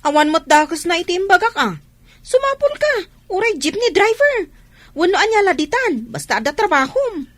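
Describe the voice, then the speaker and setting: A woman's voice: extremely high pitch, very animated, normal speed, a bit bright, no echo, heavily acted. A woman playing a nagging, exasperated wife in a Filipino radio comedy-drama, with a Filipino accent.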